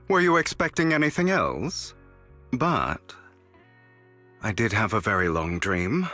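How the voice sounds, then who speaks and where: A man's voice: lowish voice, sharp tone, exaggerated voice. An actor playing a suave, theatrical young man in a video game, wry, resolute and elegant in his phrasing. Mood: subdued, wry. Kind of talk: dramatic video game voice acting. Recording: music behind the voice.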